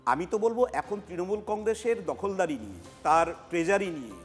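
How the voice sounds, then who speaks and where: A high-pitched male analyst with a West Bengal Bengali accent. He explains emphatically, repeating key phrases, in a long-reverb recording with music underneath. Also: big pitch swings, brisk. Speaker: panel analyst. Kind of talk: political analysis on a TV panel.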